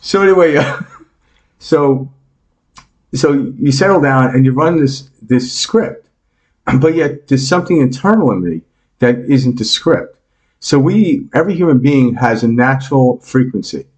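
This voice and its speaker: A man's voice: medium pitch, expressive, a little slow, low-quality recording. A man in his fifties with a New York accent, a guest on a podcast, talking casually, joking and explaining ideas with homely examples. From New York, United States. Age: 50s. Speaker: meditation teacher and podcast guest. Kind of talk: casual interview talk.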